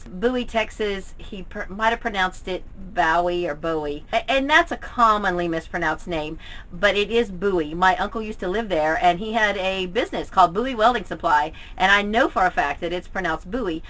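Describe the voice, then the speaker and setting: A woman's voice: medium pitch, fairly bright tone, poor audio. An American woman from Oklahoma chatting casually and candidly as she gives her opinions on her reading, like a vlogger. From Oklahoma, United States. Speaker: book reviewer, vlogger.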